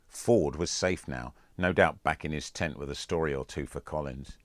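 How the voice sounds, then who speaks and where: A man's voice: low-pitched, a bit bright, no echo, studio quality. A man reading a scripted first-person story as an audiobook narrator. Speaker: author reading own audiobook.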